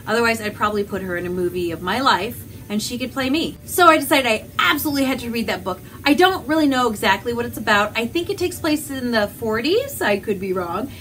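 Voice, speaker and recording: A woman chatting casually as a book vlogger, animated and rambling, with self-deprecating asides. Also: on the high side, brisk, hardly any pauses. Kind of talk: casual chatty vlog to camera.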